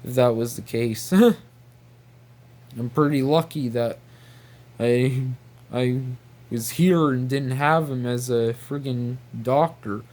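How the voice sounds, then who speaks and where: A man talks casually to his viewers in a mid-pitched voice. His pace is slow and rambling. He sounds exasperated and incredulous, often repeating himself and dropping in mild slang swears. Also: darkish tone. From New Brunswick, Canada. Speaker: video creator.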